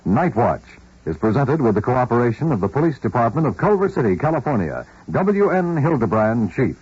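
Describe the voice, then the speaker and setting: Husky American radio announcer, sweeping intonation. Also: male voice, medium pitch, sing-song, fairly quick, darkish tone. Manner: emphatic, formal. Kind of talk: scripted 1950s radio announcing. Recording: dull vintage radio recording.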